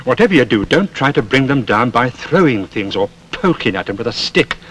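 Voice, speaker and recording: A British actor playing a knowing, patient but stern safety teacher, explaining and admonishing in a scripted public information film. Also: male voice, mid-range voice, very animated, a bit bright. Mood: firm, admonishing. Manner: instructive, admonishing, measured explanations. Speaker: safety film narrator and instructor.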